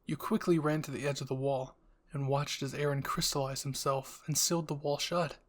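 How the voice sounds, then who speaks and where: A man's voice: on the high side, fairly even intonation, normal speed. Man narrating a scripted roleplay story.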